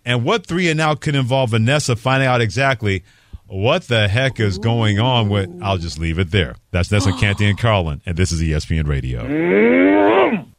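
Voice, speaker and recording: A man's voice: lowish voice, very animated, normal speed, creaky. A man in his fifties or older from New York City, hosting live sports talk radio and chatting with a co-host and callers. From New York City, USA.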